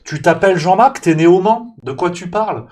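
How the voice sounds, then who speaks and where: A man's voice: high voice, lively intonation, brisk, darkish tone. Man ranting in mock exasperation. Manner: parodies waiters with exaggerated Italian accent. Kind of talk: comic rant.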